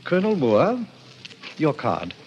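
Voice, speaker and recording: An actor playing a polished, sinister gentleman who runs a deadly card club, speaking with formal, ceremonious, hypnotic courtesy in 1940s radio style. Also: fairly high pitch, wildly swooping pitch, a little slow, full character voice. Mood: coolly insistent. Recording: dull, noisy old radio recording.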